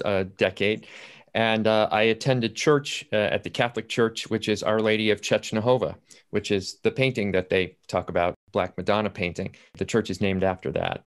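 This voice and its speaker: Low-voiced older man telling stories. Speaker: playwright, panelist. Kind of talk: casual storytelling on a panel. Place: indoors at home on a video call. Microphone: video call.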